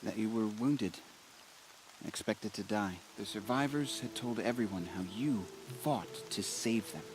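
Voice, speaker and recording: An actor playing a gentle, earnest young tailor in a video game cutscene. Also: male voice, on the low side, a little slow, a little hiss or hum, close to an everyday voice. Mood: warm, earnest. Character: gentle, earnest young tailor man greeting an old friend.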